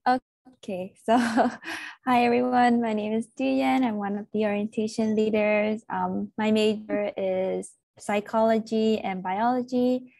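A young woman originally from Vietnam talks with pauses and fillers on a video call. The sound is dry and close with no room echo. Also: on the high side, little pitch movement, gravelly, darkish tone. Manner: hesitant, many fillers. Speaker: orientation leader. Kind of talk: casual panel talk.

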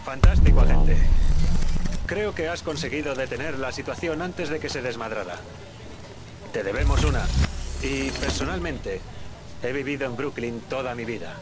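A man's voice: medium pitch, moderate pace, pauses often, close to an everyday voice. A man from playing a grateful, sincere officer in video game dialogue. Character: grateful male officer, born-and-raised Brooklynite.

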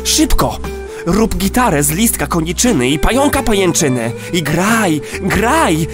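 A man's voice: high-pitched, sing-song, unhurried, music in the background. A man playing a friendly, teasing little rat in a children's audio play, with playful, hesitant phrasing. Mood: encouraging.

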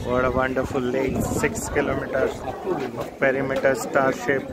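A man's voice: medium pitch, little pitch movement, moderate pace. A man, filming a cheerful travel vlog on a phone held at arm's length by a lake. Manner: cheerful, chuckling, wry about hardship. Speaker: travel vlogger. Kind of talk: casual travel vlog narration. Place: outdoors at a lake, on a paddle boat. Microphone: phone selfie at arm's length. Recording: loud outdoor noise, boats and traffic. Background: loud outdoor ambience and vehicles.

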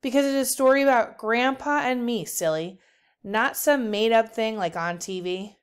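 A woman's voice: fairly high pitch, normal speed, clean tone, pitched above the performer's own voice, lightly acted. A woman voicing an exasperated fifth-grade boy, a bossy and curt big brother in a storybook. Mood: dismissive. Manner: curt, dismissive toward his sister.

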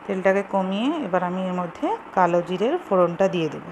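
A woman's voice: on the low side, fairly even intonation, quick pace, darkish tone. A woman giving casual, step-by-step cooking instructions in simple words, as host of a home recipe video. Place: home kitchen. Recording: clearly noisy home kitchen recording.

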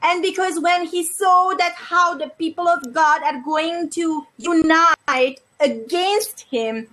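A middle-aged woman talks earnestly into earbuds, laying out her faith like a short sermon. Her voice sits high and she takes her time with each phrase. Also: pushed and bright, poor audio. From Hungary. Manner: earnest, deliberate preaching. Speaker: host. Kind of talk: religious video chat.

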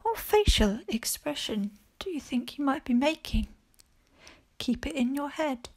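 A woman teacher leading a guided mindfulness body scan for children, speaking calmly and gently in short phrases with long pauses. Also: high-pitched. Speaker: primary school teacher leading a guided meditation.

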